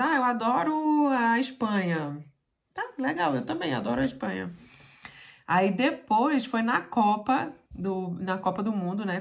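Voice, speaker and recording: A woman in her thirties from Brazil with a Brazilian Portuguese accent, telling stories to camera in a small room at home, chatty and animated. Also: medium pitch, gravelly. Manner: chatty, animated, acts out others' lines in a raised voice. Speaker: vlogger. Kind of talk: casual storytelling to camera.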